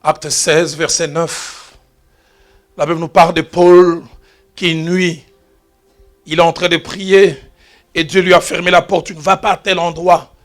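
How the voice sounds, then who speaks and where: A man preaching a sermon as pastor, telling stories and shifting from quiet asides to loud exclamations. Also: a little high, flat delivery, measured pace, pauses often, faint music behind. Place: church.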